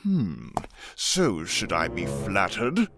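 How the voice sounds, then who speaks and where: A proud, stern cartoon king with a short temper. He drawls slowly in a gravelly, sarcastic voice that swings hugely in pitch, over music. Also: huge pitch swings, heavily acted. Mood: mocking, menacing. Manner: sarcastic, menacing, swinging from slow to booming. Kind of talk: fan comic dub voice acting.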